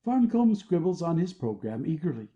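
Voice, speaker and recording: Man, scripted narration, very soft dark timbre, slightly high pitch, stage directions, muffled lo-fi sound. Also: very animated, moderate pace.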